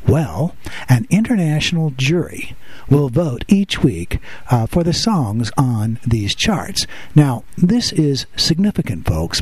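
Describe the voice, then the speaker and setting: A man hosting a radio podcast, talking in chatty patter with filler pauses and joking at his own expense, sitting close to the mic. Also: medium pitch, a little mellow, slightly rough, dry recording. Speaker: podcast host. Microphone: close to the microphone.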